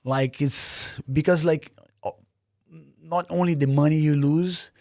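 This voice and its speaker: A man's voice: a little high, fairly even intonation, unhurried, darkish tone, no echo, clean studio sound. A man from Brazil, a native Portuguese speaker with a Brazilian Portuguese accent, talking earnestly as a podcast guest with many fillers and restarts. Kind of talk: casual interview.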